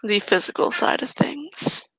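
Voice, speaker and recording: A woman's voice: a little high, sing-song, unhurried, slightly rough, no echo, pitched above the performer's own voice, full character voice, poor audio. A woman voicing a confident female werewolf who hosts newcomers to her pack, speaking in a matter-of-fact, dryly knowing way. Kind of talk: in-character roleplay.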